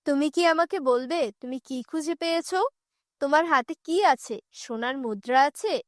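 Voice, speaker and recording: An overworked cartoon village mother, played by a woman, impatient and scolding. Her high voice nags with exasperation in a dry recording. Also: normal speed, no room sound, close to an everyday voice. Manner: exasperated nagging. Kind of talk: children's fairy-tale cartoon voice acting.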